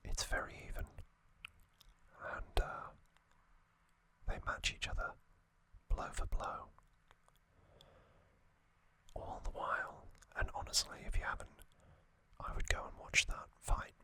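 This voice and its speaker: Slow whispering man, close to the mic. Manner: soft unvoiced whisper with frequent ums and asides. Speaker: ASMR channel host. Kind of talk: whispered ASMR trivia recap.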